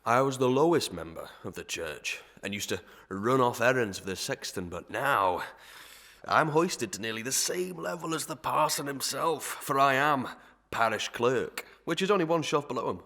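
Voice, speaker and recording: An actor playing a pompous, sly, gluttonous parish clerk who sermonises in archaic proverbs and Latin tags in a melodrama. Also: male voice, medium pitch, expressive, normal speed, pushed and bright. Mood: pompous, self-important. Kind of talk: Victorian melodrama audio acting.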